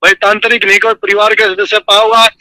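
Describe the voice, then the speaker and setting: A man's voice: high voice, level tone, fairly quick, sharp tone. A man on a phone call, a fellow local reporter who emphatically agrees with the host. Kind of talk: phone conversation.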